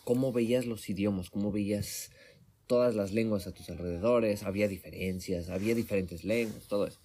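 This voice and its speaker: A man's voice: lowish voice, fairly quick, warm tone, husky. A man from Mexico talking casually as the interviewer, correcting himself often as he speaks. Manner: conversational, with restarts and self-corrections. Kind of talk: casual conversation. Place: home room in Sweden.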